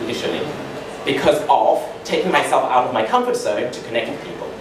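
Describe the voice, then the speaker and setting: Man pitching in an echoey hall. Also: brisk. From Zimbabwe. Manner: earnest, storytelling, expressive. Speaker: lawyer pitching to a startup meetup. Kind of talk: live stage talk with handheld mic. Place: large dark event hall, on stage. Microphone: handheld mic through PA, filmed from far. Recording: echoey hall, distant camera, noisy.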